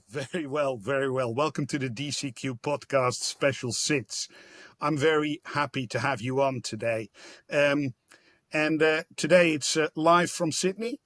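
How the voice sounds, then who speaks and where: A man hosting a podcast, asking questions in a casual, halting way and often rephrasing as he goes. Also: medium pitch, steady tone, a bit bright, dry recording, clean studio sound. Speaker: podcast host. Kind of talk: casual interview.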